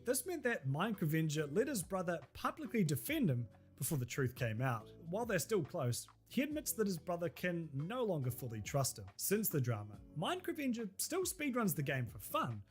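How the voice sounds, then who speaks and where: A man with a head cold and a nasal voice, delivering scripted video narration with wry, deadpan humor. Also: a little high.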